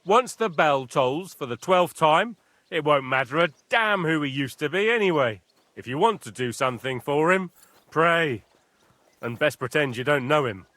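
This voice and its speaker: A gruff castle guard. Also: male voice, on the high side, animated, moderate pace, bright, forceful tone, dry recording. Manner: gruff, curt. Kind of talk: video game voice acting.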